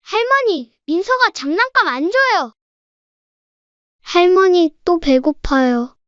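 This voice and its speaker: Woman voicing a very high, slow whining little child. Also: expressive, a bit bright, dry recording, highs cut off, heavily acted. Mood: whiny, pleading. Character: whining young grandchild begging grandmother for food and play. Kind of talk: audio drama acting.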